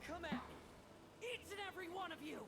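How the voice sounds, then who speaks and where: An actor playing a bold, taunting warrior in a video game who guards fragile mortals with theatrical relish. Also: male voice, very high voice, lively intonation, brisk. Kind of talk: video game character acting. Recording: clearly noisy game stream audio.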